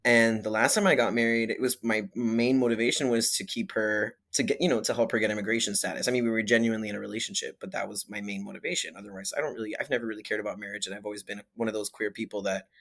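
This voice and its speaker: A young man from Miami, a podcast guest, chatting candidly with lots of fillers and quick laughs. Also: lowish voice, little pitch movement, fairly bright tone. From Miami, Florida. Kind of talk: casual conversational interview.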